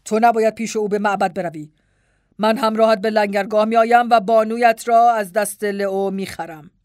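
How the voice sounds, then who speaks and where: A woman voicing a stern, composed male master of a household in an audiobook, calm and commanding. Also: mid-range voice, dry recording, clean studio sound, close to an everyday voice. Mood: firm, resolute. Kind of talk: audiobook dialogue.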